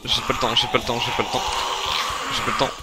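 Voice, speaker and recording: A man's voice: medium pitch, level tone, fast. A man improvising in-character commentary on a survival game, muttering to himself and repeating his phrases. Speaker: gamer playing a zombie survival roleplay series.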